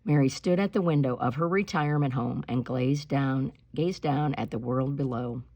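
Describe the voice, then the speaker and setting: A woman reading a children's picture book aloud in an expressive, emphatic storytelling style, slowing on key words. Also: very deep voice. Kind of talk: expressive read-aloud storytelling with spoken asides.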